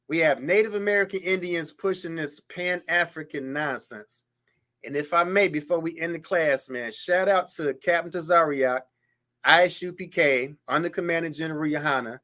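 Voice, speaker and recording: A man's voice: on the high side, fairly bright tone. A man hosting a history show, reading a biography aloud and breaking off into incredulous, mocking commentary on clips. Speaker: show host.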